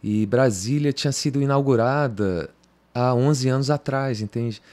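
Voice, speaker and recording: A man's voice: mid-range voice, a little slow, a little mellow. A man in his fifties from Brazil, a guest on a podcast recorded in a home music studio, talking in a loose, rambling way, restarting sentences and tagging them with "cara" and "entendeu?". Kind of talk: casual interview talk. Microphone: studio mic on boom arm.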